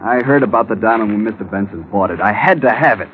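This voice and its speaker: An actor playing an outwardly polite, nervous schemer in an old radio crime drama, earnest and defensive. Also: male voice, on the low side, fast, natural voice. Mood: anxious confession. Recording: tinny, hissy old radio recording.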